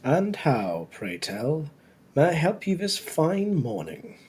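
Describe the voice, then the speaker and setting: A man playing a cursed tiger-man scholar in an audiobook, dry and world-weary, with formal, measured wording and a husky voice. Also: a little high, sing-song, darkish tone, full character voice. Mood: dry, courteous. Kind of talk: audiobook character dialogue.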